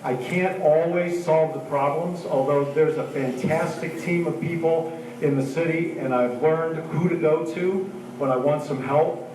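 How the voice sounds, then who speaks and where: A man's voice: on the high side, fairly even intonation, unhurried, a little mellow, a little hiss or hum. An older man, a retired choir director and council member, giving an earnest, orderly candidate speech. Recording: echoey hall from far off.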